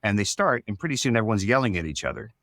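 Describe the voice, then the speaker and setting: A man speaking as a writer in a casual interview, explaining his ideas in a thoughtful, halting way. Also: lowish voice, sing-song, a bit bright, dry recording, studio quality. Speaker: writer and storyteller.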